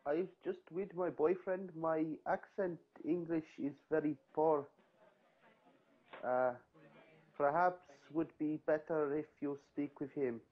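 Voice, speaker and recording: A man's voice: on the high side, fairly even intonation, takes it slowly. A man as a meek foreign boyfriend, broken English, repetitive, apologetic, dull muffled sound, prank call. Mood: timid.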